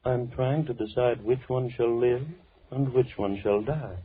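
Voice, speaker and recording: An actor playing a deranged, lonely killer who speaks with mock politeness and menace in old radio horror style. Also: male voice, medium pitch, fairly even intonation, a little hiss or hum, natural voice. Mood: mock-gentle menace. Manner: theatrical, mock-polite, slow musing monologue. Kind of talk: 1940s radio horror acting. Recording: muffled, tinny old radio recording.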